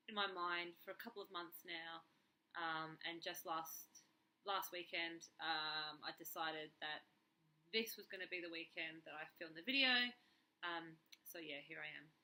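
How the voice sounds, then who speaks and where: A woman vlogger with a slightly croaky, under-the-weather voice talks earnestly and emphatically to camera, repeating key words for stress. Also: fairly low pitch, pushed and bright. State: slightly unwell, a bit croaky. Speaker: YouTube vlogger. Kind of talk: earnest personal talk to camera. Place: carpeted room at home, indoors.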